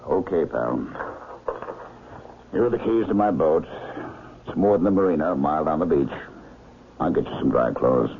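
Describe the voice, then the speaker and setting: An actor playing a wry, loyal doctor in a 1970s radio drama, speaking conversationally. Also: male voice, rumbling low, expressive, moderate pace, long pauses. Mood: concerned, earnest. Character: wry, loyal male doctor shielding an accused friend. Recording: muffled vintage radio recording with hiss.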